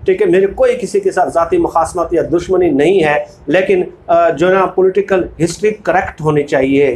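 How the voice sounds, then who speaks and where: A man's voice: sits high, darkish tone, slight background noise. A man with a Pakistani accent hosting informal political commentary, speaking emphatically and repeating phrases for weight. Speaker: political commentary video host. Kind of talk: informal political commentary, reading book excerpts.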